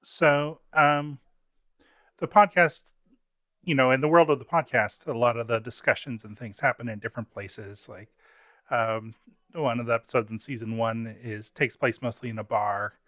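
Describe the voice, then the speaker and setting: Man, interviewer, bright crisp tone, dry close sound, hesitant casual phrasing. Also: mid-range voice, fairly even intonation, normal speed, no room sound.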